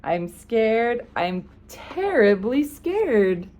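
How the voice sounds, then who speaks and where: A woman voicing a timid, fussy male cook who is always scared, in a squeaky, trembling voice. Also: very animated, drawn-out words, a little mellow, heavily acted.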